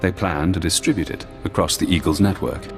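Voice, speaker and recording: Deep voiced paranoid spy genius, clipped and bossy, game cutscene, big reverb, loud music behind. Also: male voice, creaky. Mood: calculating. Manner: measured, clipped, commanding. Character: paranoid, brilliant imprisoned ex-intelligence analyst, cool and controlling. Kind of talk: video game voice acting.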